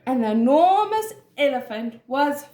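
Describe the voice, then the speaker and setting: A woman's voice: high-pitched, very animated, unhurried, warm tone, echoey room. A woman reading a children's story aloud as a nursery teacher, expressively and directly to young listeners. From South Africa.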